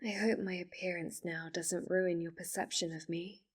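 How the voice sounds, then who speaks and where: A woman playing a polite, obsessively devoted fox spirit in an ASMR roleplay, speaking in formal, composed phrases with quiet menace. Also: lowish voice, fairly even intonation, recorded from a distance, lightly acted. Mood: calm, reassuring. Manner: formal, measured, composed wording.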